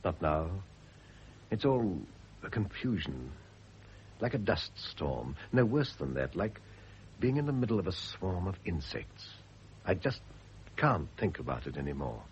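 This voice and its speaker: An actor playing a brooding, philosophical, self-absorbed husband in a vintage radio drama, speaking in a literary, declamatory way. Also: male voice, low voice, big pitch swings, measured pace. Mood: bewildered, weary. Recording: dull, hissy vintage radio recording.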